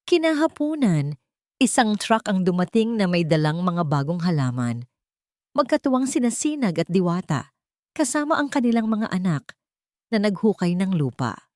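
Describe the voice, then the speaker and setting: A woman's voice: mid-range voice, very animated, moderate pace, a little mellow, dry recording, studio quality. A woman narrating a scripted romantic story in a dramatic, sentimental way.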